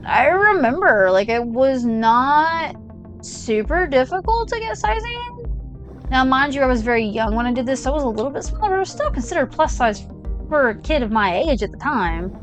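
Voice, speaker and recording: A woman's voice: high-pitched, very animated, moderate pace. A young woman chatting casually while playing a video game, rambling with lots of fillers and side tangents. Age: young adult. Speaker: gaming channel host. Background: game music.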